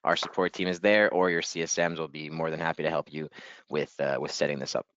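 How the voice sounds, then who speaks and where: A man leading a software training webinar in a casual, upbeat way, a little hoarse and clearing his throat. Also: a bass voice, steady tone, fast talker, dry recording, muffled recording. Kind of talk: webinar screen-share walkthrough.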